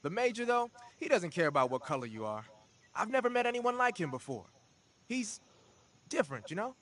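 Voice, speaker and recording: An actor playing a talkative, streetwise tech and weapons expert in a video game, explaining things informally. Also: male voice, high-pitched, sing-song, fairly quick, fairly bright tone. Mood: frank, earnest.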